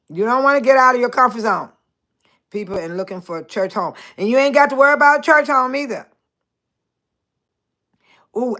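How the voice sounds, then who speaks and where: A woman in her sixties hosting a casual livestream, chatting warmly with viewers by name and laughing often. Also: fairly high pitch. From Chicago area. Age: 60s. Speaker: livestream host. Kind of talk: casual livestream chat reading viewer comments.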